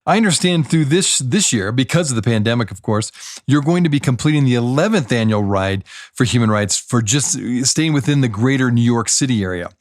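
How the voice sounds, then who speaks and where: A man talks fast as he hosts a podcast interview. His voice is close and dry, with no room sound. He asks a long, smooth question to lead his guest in. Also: mid-range voice, expressive, no echo. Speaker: podcast host.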